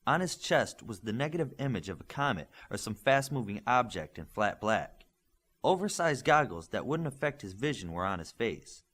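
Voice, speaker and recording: A man's voice: medium pitch, sing-song, moderate pace, fairly bright tone. A man reading scripted fiction as an audiobook narrator.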